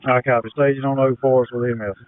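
A man's voice: medium pitch, flat delivery, fast talker. A man, a fire officer, exchanging terse instructions and updates on a two-way radio. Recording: noisy, tinny two-way radio.

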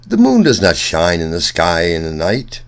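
A man reading a rhyming children's picture book aloud in an expressive, sing-song storyteller's way. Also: low-pitched, moderate pace, clear, resonant tone. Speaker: children's story reader, host.

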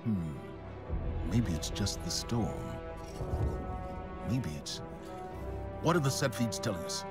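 A man's voice: lowish voice, lively intonation, takes it slowly. An actor playing a stern male commander, formal and commanding, in a game cutscene. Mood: serious. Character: stern male commander, serious, duty-bound. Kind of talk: video game cutscene acting. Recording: music under a long reverb.

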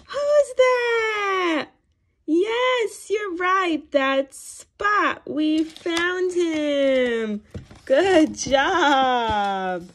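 Lady doing read-aloud for little kids, super slow, swoopy and expressive, lots of gasps and questions. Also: high voice, a little hiss or hum. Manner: exaggerated gasps, questions to young children, encouraging praise; animated, expressive, sing-song. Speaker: children's read-aloud storyteller. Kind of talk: interactive picture-book read-aloud for toddlers.

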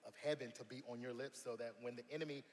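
Earnest worship leader in a big hall. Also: male voice, mid-range voice, steady tone, fast. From South Carolina. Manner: earnest, many fillers and restarts. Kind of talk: casual interview chat. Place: church stage, large hall. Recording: long hall reverb, faint noise.

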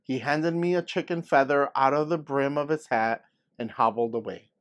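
A man's voice: medium pitch, normal speed, lightly acted. A man reading a picture book as a young girl earnestly and expressively telling her story. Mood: earnest, suspenseful. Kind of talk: scripted picture-book read-aloud.

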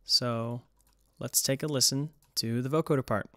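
A man's voice: medium pitch, fairly even intonation, normal speed, fairly bright tone, dry recording, clean studio sound. A man giving a casual, hesitant tutorial voice-over as he explains music production. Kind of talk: casual screen-recorded tutorial narration.

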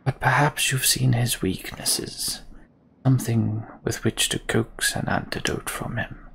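A man voicing a weary, solemn old king in a video game, speaking slowly and carefully in a breathy half-whisper. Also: mid-range voice, level tone, slight background noise. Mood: weary, grave. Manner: slow, careful, hushed. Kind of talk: video game character dialogue.

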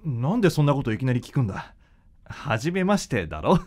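An actor playing an earnest, intense young doctor, a devoted son, in a radio drama. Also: male voice, mid-range voice, big pitch swings, fast talker, darkish tone. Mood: confused, alarmed. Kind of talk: Japanese radio drama acting.

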